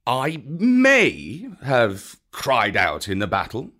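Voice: mid-range voice, wildly swooping pitch, slow pace, a bit bright, full character voice. A reader voicing a proud, stern panther in a storybook. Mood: urgent.

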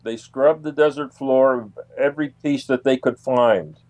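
A man answering as an interview guest, conversational and halting, repeating phrases for emphasis. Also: medium pitch, a little mellow, no room sound.

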